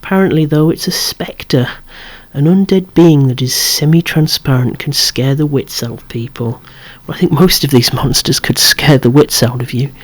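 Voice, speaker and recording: Hushed, breathy ASMR whisperer. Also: medium pitch. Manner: hushed, unhurried, musing with wry asides. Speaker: toy collector presenting a collection. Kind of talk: whispered ASMR show-and-tell.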